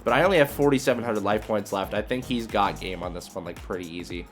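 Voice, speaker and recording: Male gameplay commentator, lowish pitch, casual and hesitant, reasoning aloud over light background music. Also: fast talker. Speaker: video creator and card game player.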